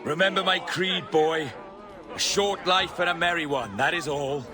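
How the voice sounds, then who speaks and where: A man's voice: fairly high pitch, moderate pace, over music, natural voice. A man as a theatrical, taunting pirate captain. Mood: rousing, grandiose. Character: swaggering, mocking pirate captain, a grandiose orator.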